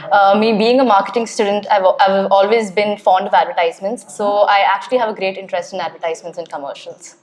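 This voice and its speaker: A young woman from Kerala, India, answers exam questions in a quick, chatty flow. She fills her speech with uh and yeah, and her pitch sits in a middle range. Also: steady tone. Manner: chatty, with frequent uh and yeah fillers. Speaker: test candidate.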